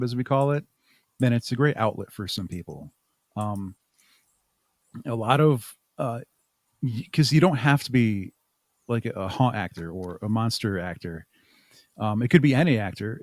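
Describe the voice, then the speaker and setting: A man talks casually and reflectively, as a guest in an interview. He feels his way toward his words in a mid-pitched voice. The sound is dry, with very little room to it. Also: a little mellow, no room sound. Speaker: podcast guest, haunt makeup artist and audio drama creator.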